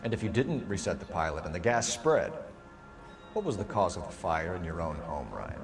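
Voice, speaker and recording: An actor playing a stern 1940s police detective, questioning suspects in a pointed, accusatory way. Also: male voice, sits low, sing-song, moderate pace. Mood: accusing. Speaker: video game detective. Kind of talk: dramatic interrogation acting. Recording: music under the dialogue.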